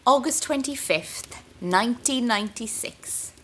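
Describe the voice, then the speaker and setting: Young Irish intern, noisy background, bright voice, slow pace, anxious, self-mocking, intimate audio-drama diary. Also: female voice, a little high, animated. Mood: wry, restless. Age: young adult. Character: anxious, wry young female psychiatric intern.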